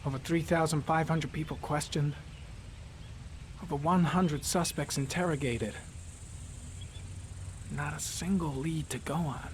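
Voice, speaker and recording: A man's voice: on the high side, unhurried, pauses often, a little hiss or hum, natural voice. A man voicing a weary, driven male FBI profiler who delivers case facts in a clipped, matter-of-fact way. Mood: clinical. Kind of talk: voicing a game character.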